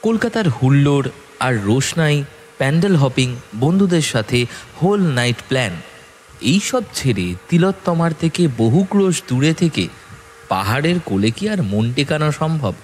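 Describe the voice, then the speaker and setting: A man narrating a scripted horror story in a dramatic, expressive storytelling manner with measured phrasing. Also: fairly high pitch, slight background noise. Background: background music.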